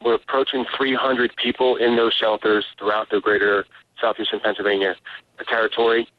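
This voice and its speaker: A man, a spokesman giving halting, informative answers in a live phone interview over a tinny line. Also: on the low side, flat delivery, no echo. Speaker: relief agency spokesman. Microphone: telephone.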